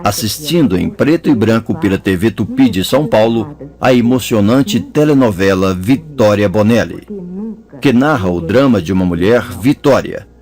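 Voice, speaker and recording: A man narrating an audiobook chapter in a measured storytelling manner. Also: lowish voice, expressive, a little mellow. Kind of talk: scripted narration.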